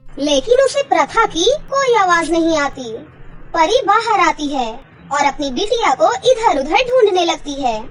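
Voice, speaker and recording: A woman's voice: very high-pitched, very animated, a little slow, soft background music. A woman narrator reading a scripted children's fairy tale.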